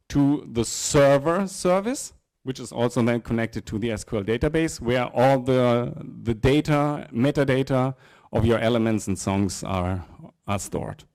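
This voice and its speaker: A middle-aged man giving an informal live technical presentation, often halting and repeating words. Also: mid-range voice, darkish tone, no room sound. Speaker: software presenter. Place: indoor presentation room with small audience. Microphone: handheld microphone.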